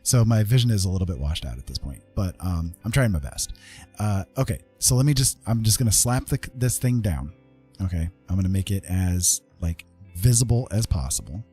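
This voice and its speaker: A man with a deep, dark, slightly rough voice teaches digital art on a casual stream. He is informal and easygoing, tagging his points with little check-in questions. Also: animated, brisk, faint music behind. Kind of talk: casual live tutorial talk.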